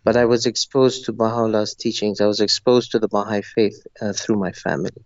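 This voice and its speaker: A man's voice: on the low side, steady tone, a little fast, darkish tone, no echo, dull audio. A middle-aged man from Zambia answering interview questions in a thoughtful, earnest way. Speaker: interview guest, novelist.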